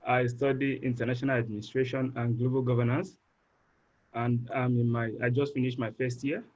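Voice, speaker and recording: A Nigerian man and master's student introducing himself briskly with a light joke. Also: mid-range voice, steady tone, darkish tone, dull audio.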